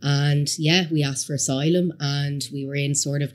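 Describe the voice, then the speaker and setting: A woman's voice: low-pitched, fairly even intonation, moderate pace. A woman in her thirties from Somalia, with a Dublin accent, telling her life story as a guest in a casual interview. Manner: chatty, candid storytelling. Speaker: guest entrepreneur.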